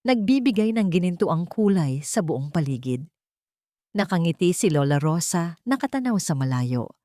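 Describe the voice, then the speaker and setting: Low-pitched Filipino woman narrating, dry sound. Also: no echo. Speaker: storyteller and narrator. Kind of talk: scripted dramatic story narration.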